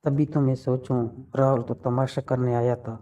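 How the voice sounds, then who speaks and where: A man's voice: mid-range voice, little pitch movement, moderate pace, warm tone. A man in a casual, intimate phone conversation, teasing and probing with questions, recorded muffled and distant.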